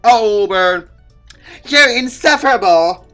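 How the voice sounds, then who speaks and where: A prim teenage schoolgirl acted out by a man speaks in a raised, animated pitch. She is judgmental and quick to get huffy, as a visual novel game character over light music. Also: full character voice. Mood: indignant.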